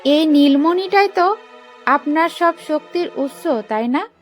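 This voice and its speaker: A woman's voice: high-pitched, slow pace, soft background music. A woman playing a wronged, grieving young mother in a cartoon moral story, declaiming with righteous defiance. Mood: defiant accusation. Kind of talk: dramatic animated moral story acting.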